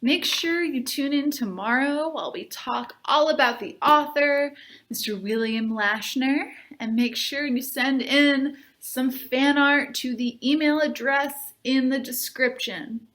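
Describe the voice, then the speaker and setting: A woman, a librarian, reading a children's book aloud and chatting to viewers in an expressive, upbeat way. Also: high voice, measured pace.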